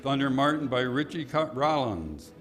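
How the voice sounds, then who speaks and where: A man's voice: medium pitch, big pitch swings, moderate pace, a loud crowd, heavy background noise. A man announcing a radio play, reading scripted narration and credits. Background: loud music.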